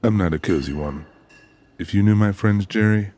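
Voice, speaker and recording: A man's voice: fairly low pitch, normal speed, a little mellow, close to an everyday voice. A man playing a guarded, laconic lone-wolf older brother in an audiobook, terse and stoic. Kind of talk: audiobook character acting.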